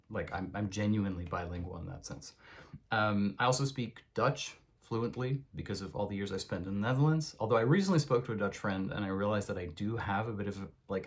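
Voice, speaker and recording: A man born in the United States and raised in Europe, chatting enthusiastically through a bookshelf tour at home, with lots of fillers. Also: lowish voice. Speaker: video creator giving a bookshelf tour. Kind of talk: casual spoken vlog.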